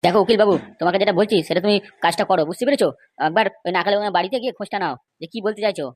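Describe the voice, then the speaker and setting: A man's voice: sits high, racing, no echo, studio quality. A man with a West Bengal accent voicing argumentative, indignant men in an amateur comedy cartoon, scolding and insistent. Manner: scolding, insistent, rhetorical questions.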